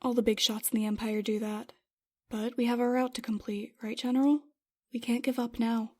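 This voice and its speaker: A woman acts as a modest young pilot in a space roleplay. The voice is fairly high, with an earnest, unassuming manner. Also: little pitch movement, brisk, lightly acted. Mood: encouraging. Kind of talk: scripted fan audio roleplay.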